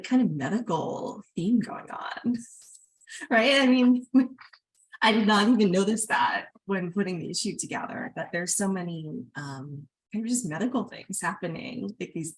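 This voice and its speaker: A woman with a husky voice hosting a literary reading in a casual, appreciative way. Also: mid-range voice, poor audio. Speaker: host of an online literary reading.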